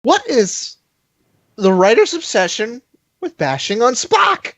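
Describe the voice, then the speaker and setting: A podcast co-host in a casual, animated and argumentative fan discussion. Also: mid-range voice, slow, dry recording.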